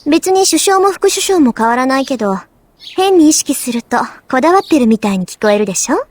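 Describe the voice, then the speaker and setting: A woman's voice: sits high, sing-song, racing, a little mellow, dry recording. An actress playing a cool, teasing teenage girl in a visual novel, speaking curtly. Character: cool, sharp-tongued teenage schoolgirl. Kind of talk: Japanese visual novel voice acting.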